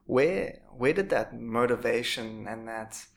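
A man's voice: medium pitch, takes it slowly, creaky. A man hosting a podcast in a casual, conversational interview style. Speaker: podcast host.

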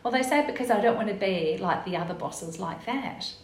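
A woman's voice: mid-range voice, expressive, fairly quick. An Australian woman, raised in Western Australia and first speaking Italian, talking as a podcast guest in a confident, emphatic way with frequent agreement. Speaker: guest, executive officer of a gender equity group. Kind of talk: conversational podcast interview. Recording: echoey room with faint noise.

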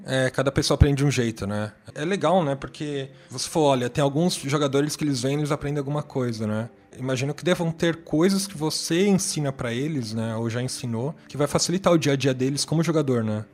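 Guy interviewer, talks quick, curious, keeps asking follow-ups. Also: mid-range voice, a little mellow. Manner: curious, adds "né?" to questions. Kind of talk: casual interview.

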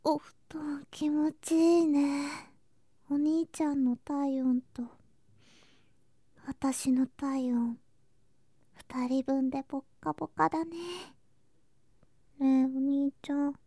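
A woman playing a sweet, clingy younger girl cousin in an ASMR voice drama, soft and breathy, often whispering, with a cutesy, affectionate manner. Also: high-pitched, steady tone, slow, pauses often, no room sound, studio quality. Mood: sleepy, tender, then coaxing, clingy. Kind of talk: Japanese ASMR situation voice drama. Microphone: close ASMR microphone.

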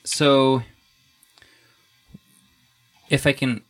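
A young man interviewing a guest in a casual, relaxed way, often restarting his sentences and chuckling. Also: medium pitch, drawn-out words, slight background noise.

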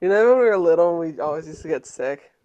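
A young woman co-hosts a laid-back podcast, talking in a playful, teasing way. She giggles often and trades quick banter with her co-host. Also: lowish voice, animated, dark tone, lo-fi sound. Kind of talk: casual chat.

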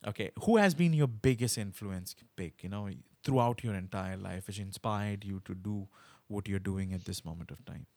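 A man's voice: lowish voice, moderate pace, a little mellow, no echo. A man hosting a podcast, talking conversationally to listeners and guests in an emphatic way. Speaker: podcast host.

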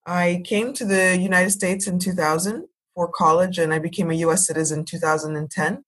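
A woman's voice: fairly low pitch, steady tone, brisk, fairly bright tone, breathy edge. A woman in her thirties from Ethiopia, telling her personal story as a podcast guest in a composed, articulate way.

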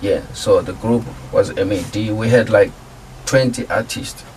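Laid-back man, low voice. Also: a little slow, far from the mic, slight background noise, low-quality recording. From South Africa. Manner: relaxed, laid-back slang, laughs easily. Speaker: guest music producer and artist. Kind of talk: casual interview talk.

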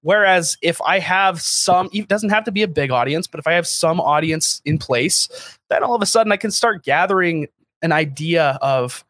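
A man's voice: fairly high pitch, moderate pace, fairly bright tone, dry recording. An American man in his late twenties, a podcast guest and startup founder, talking casually and with self-deprecating humor, full of fillers and restarts. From United States. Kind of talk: casual interview talk.

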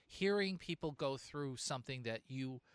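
A man's voice: medium pitch, lively intonation, normal speed, smooth. A man hosting an interview talks in a loose, rambling way and often restarts his sentences. His voice sits in the middle range. The sound is dry, with no room echo. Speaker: interview host. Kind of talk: casual interview chat.

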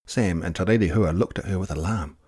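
A man reading a children's picture book expressively, dramatic, with hushed and drawn-out words. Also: low voice, husky. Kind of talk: expressive children's story narration.

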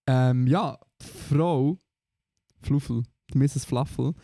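Man, Swiss German accent, teasing podcast co-host, mellow tone, dry room. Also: mid-range voice, slow, gravelly, no echo. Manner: playful, teasing. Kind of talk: casual podcast chat.

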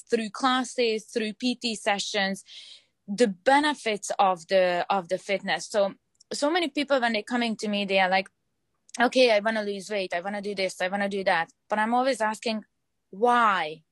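A young woman with a Hungarian accent, speaking English as a second language, talks earnestly as a fitness trainer. She pauses to find her words and repeats phrases as she goes. The voice sits in a middle register and sounds close and dry, with no room echo. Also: mid-range voice, fairly bright tone. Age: 20s. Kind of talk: interview answers.

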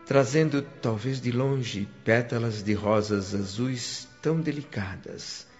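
A reflective narrator, voiced by a man, tells the story in first person with calm, measured phrasing. Faint music plays beneath. The radio drama recording sounds dull and lo-fi. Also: medium pitch, natural voice. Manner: calm, measured, softening to a hush.

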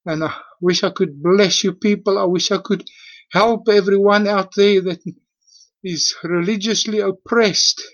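A man in his late fifties with a South African accent, leaving an earnest, halting and emphatic personal testimony as a voicemail. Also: sits high, fairly even intonation. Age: late 50s. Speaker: voicemail caller. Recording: dull lo-fi voicemail audio.

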